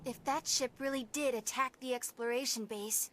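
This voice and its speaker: A woman playing a girlish, expressive, plucky young crew member in a video game. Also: fairly high pitch, normal speed, background noise, lightly acted. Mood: subdued, thoughtful. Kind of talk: dramatic video game acting.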